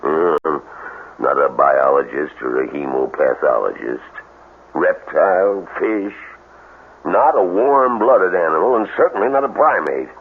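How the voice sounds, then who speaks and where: An actor in an old-time radio drama playing a grave, skeptical doctor with a deliberate, clinical manner and a gruff voice. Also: male voice, very deep voice, expressive, exaggerated voice. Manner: deliberate, clinical, hesitant pauses. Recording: tinny old radio broadcast.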